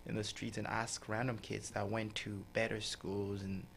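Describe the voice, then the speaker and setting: A man's voice: lowish voice, flat delivery, normal speed, gravelly, fairly bright tone, a little hiss or hum. Man, hesitant guest. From Nigeria. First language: Hausa. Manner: laughs often, hesitant with many fillers. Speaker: radio guest. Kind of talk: casual radio interview.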